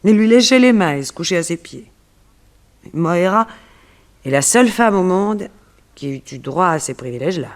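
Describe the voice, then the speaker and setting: A woman's voice: lowish voice, expressive, normal speed, long pauses, lightly acted. An actress playing a beautiful, self-possessed woman under suspicion in a French radio detective serial, speaking formally and articulately. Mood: cool, confiding. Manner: articulate, composed, formal phrasing.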